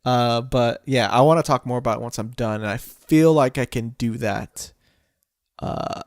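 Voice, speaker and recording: A man co-hosting a podcast, chatting casually about games, agreeable and quick to say yeah, now and then swearing offhand. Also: medium pitch, dry recording, clean studio sound. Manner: agreeable, frequent yeahs, loose swearing. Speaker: podcast co-host. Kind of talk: casual gaming chat.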